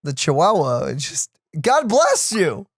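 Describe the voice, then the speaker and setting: A man's voice: a little high, huge pitch swings, a little slow, slight background noise. A man hosting a let's play with casual gaming commentary, rambling as he thinks aloud.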